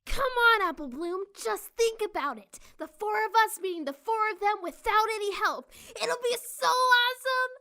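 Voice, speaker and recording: A woman's voice: very high-pitched, lively intonation, normal speed, hardly any pauses, sharp tone, full character voice. A woman voicing a bold, competitive little filly, eager and pushy, urging emphatically in a cartoon storybook reading. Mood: excited urging.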